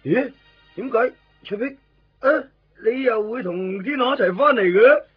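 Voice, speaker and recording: A man's voice: extremely high pitch, measured pace. A man acting a fussy, caring, chatty neighbour in a radio drama, with a Hong Kong Cantonese accent, stammering repeats and exclaiming as he goes. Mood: fussy, complaining. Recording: dull lo-fi radio recording, music behind.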